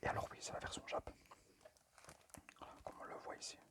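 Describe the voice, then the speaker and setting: Whispering man, hesitant ASMR delivery. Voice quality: breathy, unvoiced whisper. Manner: hesitant, halting, apologetic. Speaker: amateur ASMR video creator. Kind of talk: whispered ASMR presentation of a collection.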